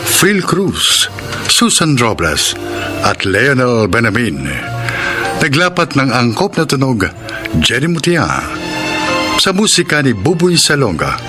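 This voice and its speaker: A man's voice: medium pitch, big pitch swings, slow pace, long pauses, over music. A man with a Filipino accent reading radio drama credits as an announcer in a scripted, dramatic style.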